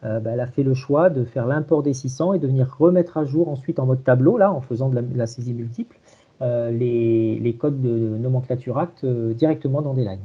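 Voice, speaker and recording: Male technical presenter, medium pitch, halting and repetitive phrasing, dull lo-fi video-call sound. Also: breathy edge. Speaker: presenter of a software tool. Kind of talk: informal technical demonstration. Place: remote video conference.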